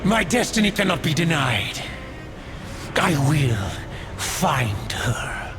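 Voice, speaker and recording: Fallen game monarch: raised, creaky voice, haughty, obsessed, easily wrathful. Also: male voice, on the high side, very animated, slow pace, over music, full character voice. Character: imperious, obsessed fallen king seeking his queen. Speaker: voice actor. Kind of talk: dramatic video game cutscene acting.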